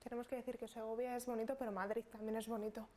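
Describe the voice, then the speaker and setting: A woman from Spain hosting a TV magazine, leading the interview and reading links to the breaks in a brisk, upbeat manner. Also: on the high side, little pitch movement, background noise. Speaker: TV magazine host.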